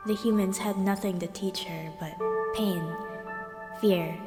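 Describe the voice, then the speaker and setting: A solemn female storyteller, performed by a woman narrates at a slow, measured pace like a storybook reading. Her mid-pitched voice echoes with long reverb under loud music. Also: steady tone, close to an everyday voice. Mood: grave. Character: solemn female storyteller of a lonely monster fable.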